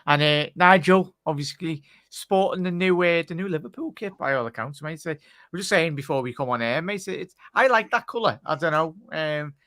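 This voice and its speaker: A man's voice: fairly high pitch, animated, moderate pace, fairly bright tone, dry recording, clean studio sound. A man hosting a casual live football reaction stream on a video call, chatty and calling everyone mate. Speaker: host.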